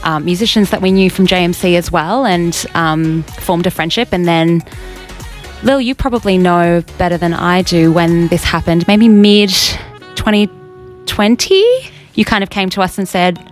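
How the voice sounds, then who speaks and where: A woman with an Australian accent speaks at a lowish pitch, explaining her band's story with dry teasing remarks. Faint music sits behind her. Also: normal speed. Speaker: band member, guest. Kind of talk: casual radio interview.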